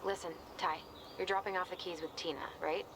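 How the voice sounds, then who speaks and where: Actress doing young american woman in a video game, really low voice, wry n chatty, affectionate, noisy background. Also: steady tone, fairly quick, lightly acted. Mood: wry, playful. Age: young adult. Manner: chatty, dryly playful. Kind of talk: naturalistic video game dialogue.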